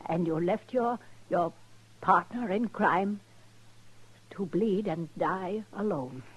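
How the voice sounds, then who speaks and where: A woman acting a proud, stern old grandmother in an old-time radio western, formal and measured. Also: on the low side, slightly rough, dull audio. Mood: reproachful. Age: older. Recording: old radio drama recording.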